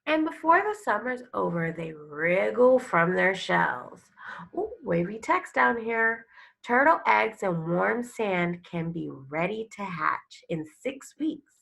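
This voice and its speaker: A woman reads a children's book aloud as a schoolteacher would, taking her time and stopping to explain or prompt. Her voice is very creaky and somewhat husky, with expressive, instructive phrasing aimed at young listeners. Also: medium pitch, slow. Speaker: elementary school teacher. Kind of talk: picture book read-aloud with questions to young pupils.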